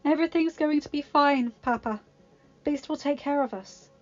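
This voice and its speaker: Woman doing a young heroine, high and emotional, pleading hard. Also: moderate pace, lightly acted. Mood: tearful. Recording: dull lo-fi sound with music.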